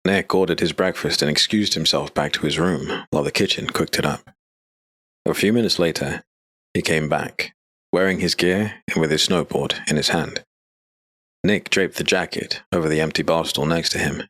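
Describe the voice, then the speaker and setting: A man narrating a dark fantasy audiobook in a steady, measured way. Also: rumbling low.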